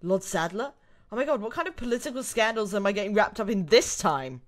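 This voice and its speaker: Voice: medium pitch, expressive, fairly quick, voice pushed up, lightly acted. A reader voicing a sarcastic, indignant young woman held captive, dramatic and exclamatory, in an amateur drama. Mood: shocked, indignant.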